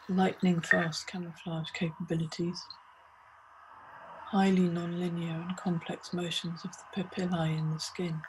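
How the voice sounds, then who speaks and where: Even-toned woman leading a guided meditation. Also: on the low side, slight background noise, low-quality recording. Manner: measured, short deliberate phrases with pauses. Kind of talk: scripted guided meditation narration.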